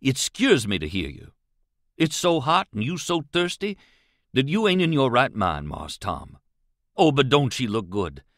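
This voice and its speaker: Man acting a frightened, pleading Southern man, animated. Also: medium pitch, measured pace, no echo, clean studio sound, full character voice. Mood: anxious, pleading. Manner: earnest, emphatic dialect speech. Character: frightened, pleading grown man, superstitious and stubborn. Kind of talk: audiobook character voice.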